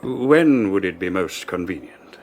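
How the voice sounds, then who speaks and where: An actor playing a courteous ship captain in a 1980s radio drama, polite and reserved. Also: male voice, low-pitched, animated, unhurried, a little mellow. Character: courteous male ship captain, middle-aged.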